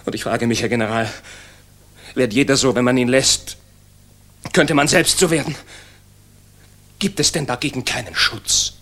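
Troubled young soldier, husky but bright strained voice, earnest old radio drama. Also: male voice, medium pitch. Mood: troubled, questioning. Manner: earnest, halting, intense. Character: anguished, idealistic young German officer, sincere and disillusioned. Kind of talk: 1960s German radio play acting.

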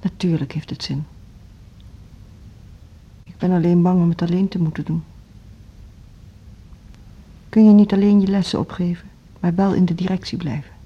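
An actress playing a grieving, earnest woman in an intimate radio drama, with a hushed, husky voice. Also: lowish voice, steady tone, normal speed, pauses often. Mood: subdued, pleading. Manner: earnest, subdued, intense. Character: grieving, earnest music teacher, a determined but lonely woman. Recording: dull lo-fi radio drama recording.

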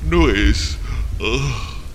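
An ancient, world-weary giant she-creature of the swamp in a radio play. The speech is extremely slow and halting, swooping up and down with indifference, in a long cavernous reverb. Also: lowish voice, expressive, a little hiss or hum. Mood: listless, grumbling. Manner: halting, hesitant, speaks of itself as "we", indifferent. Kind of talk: radio drama acting.

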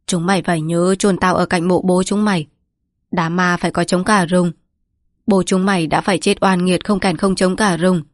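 A woman's voice: fairly low pitch, fairly even intonation, moderate pace, no echo, natural voice. Storybook role: frail, dying old family patriarch read by a woman, rambling, bitter and mournful, very dry close sound. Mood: solemn, wistful. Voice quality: weak, breathy, trembling delivery. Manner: lamenting, rambling, scolding.

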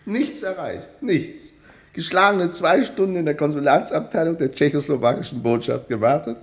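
An actor playing a sceptical, grumbling traveller in a radio play, with clipped, ironic, argumentative delivery. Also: male voice, a little high, normal speed, close to an everyday voice. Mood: exasperated. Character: sceptical, sarcastic, grumbling male West German traveller.

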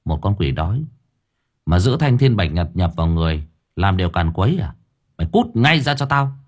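A man's voice: low-pitched, very animated, brisk, pitched below the performer's own voice. A man voicing a hot-tempered, foul-mouthed young boy exorcist in a storybook, blunt and threatening. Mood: stern.

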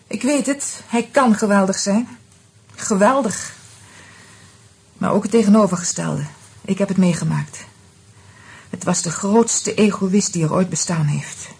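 An actress playing a bitter, independent divorced wife in a radio play, speaking in a measured, pointed way. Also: female voice, mid-range voice, gravelly, a little mellow, husky, exaggerated voice. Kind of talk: naturalistic radio drama dialogue.

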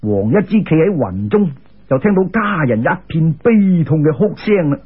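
Husky, animated Cantonese male storyteller. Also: on the high side, normal speed. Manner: animated, colloquial, emphatic. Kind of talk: dramatic narration of a folk tale. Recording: muffled lo-fi radio-style recording.

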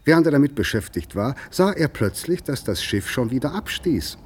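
An actor narrating a literary mystery tale in a 1950s radio play, measured and deliberate. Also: male voice, medium pitch, sing-song, darkish tone, a little hiss or hum, close to an everyday voice. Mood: measured storytelling. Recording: 1959 radio studio recording.

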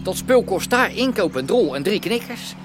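An actor playing a pushy, combative underworld dealer in a Dutch radio drama, brash and challenging. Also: male voice, high voice, sing-song, normal speed, music in the background. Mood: brash, persuasive.

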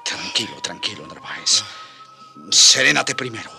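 A man's voice: lowish voice, expressive, unhurried, gravelly, pushed and bright, full character voice. An actor playing an earnest, compassionate friar in a radio drama, speaking in a solemn, fatherly, emotive way. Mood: gentle, solemn. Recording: radio drama with music behind.

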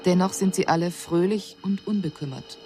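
Woman playing low-voiced cool alien observer. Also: warm tone, slight background noise, close to an everyday voice. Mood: cool, concerned. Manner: measured, formal, precise. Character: female alien emissary, cool observer puzzled by human inaction. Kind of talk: scripted radio drama report.

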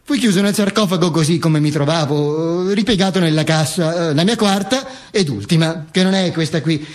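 A man's voice: fairly high pitch, moderate pace, close to an everyday voice. A man playing a dead Italian dictator, grandiose and sardonic, declaiming his own burial in a theatrical radio monologue.

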